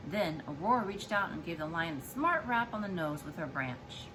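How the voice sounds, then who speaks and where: A woman's voice: on the low side, normal speed, rough recording. A woman, a mother, reading a children's storybook aloud expressively to her child. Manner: expressive, acts out dialogue and explains to child.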